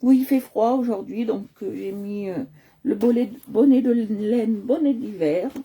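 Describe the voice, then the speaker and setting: A woman's voice: on the high side, a little slow, mellow, slight background noise. A woman from Nice hosting a live coloring stream, chatting casually with many hesitations and fillers, talking into a computer at home. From Nice, France.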